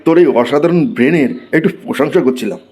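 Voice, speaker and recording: A man voicing an excitable, exasperated schoolteacher who scolds and exclaims in a comic cartoon. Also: fairly high pitch, fast talker, slight background noise, natural voice. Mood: quizzing. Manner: scolding, exclamatory, mock-praising. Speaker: cartoon voice actor. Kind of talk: comic Bengali cartoon dialogue.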